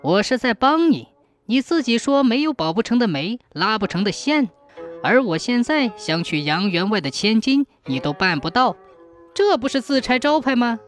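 A woman's voice: on the high side, big pitch swings, normal speed, soft background music. A woman voicing a poor, bold and cheeky young man in a folk tale, arguing in a matter-of-fact way. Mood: challenging.

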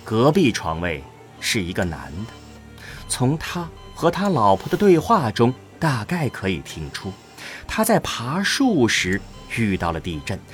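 A man narrates a scripted audio drama. His mid-pitched voice moves expressively up and down. Light music sits behind the storytelling. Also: wildly swooping pitch, measured pace.

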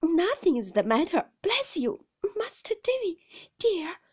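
A woman's voice: extremely high pitch, sing-song, unhurried, pitched above the performer's own voice, exaggerated voice. A woman voicing a kindly, flustered nursemaid in a storybook, breathless, hesitant and soothing. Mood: falsely bright. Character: kindly, flustered, fond nursemaid breaking awkward news.

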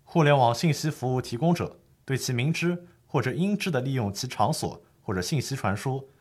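A man's voice: medium pitch, animated, a little fast, husky. A man playing an earnest lawyer who formally explains the law in a scripted educational radio drama. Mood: firm, explanatory. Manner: formal, didactic. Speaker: legal expert host.

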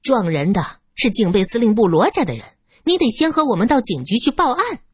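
A woman reading a measured young man. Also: fairly high pitch, big pitch swings, darkish tone, breathy edge, no echo, studio quality, voice pushed up. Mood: firm. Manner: earnest, measured.

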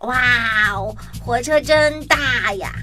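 A woman's voice: high voice, takes it slowly, loud music behind the voice. A woman voicing a cheerful, friendly young male country mouse in a children's storybook drama, eager and wide-eyed. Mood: excited, delighted.

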